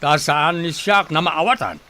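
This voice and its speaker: A man's voice: on the high side, a little slow, pushed and bright. A man playing a domineering, boastful rich senyor and family head in a dramatized audio story, bossy and scolding in manner. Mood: irritated, scolding. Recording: faint background noise.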